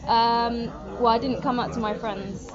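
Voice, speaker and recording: A woman's voice: a little high, steady tone, unhurried, a loud crowd. A woman in her twenties from Singapore, speaking casually and hesitantly in an interview with many fillers. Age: 20s. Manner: hesitant, lots of ums and likes. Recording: dull lo-fi sound with loud music.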